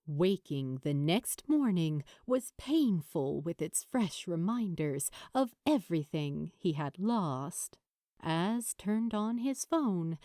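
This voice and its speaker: A woman reading a story as an audiobook narrator, with dramatic, deliberate phrasing and pauses. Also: fairly low pitch, dark tone, dry recording, clean studio sound. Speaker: narrator of fan fiction.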